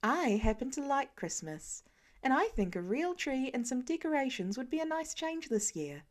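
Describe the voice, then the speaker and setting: A woman voicing a calm, patient grown woman in a fan audiobook reading, speaking evenly with a dry edge. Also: high voice, moderate pace.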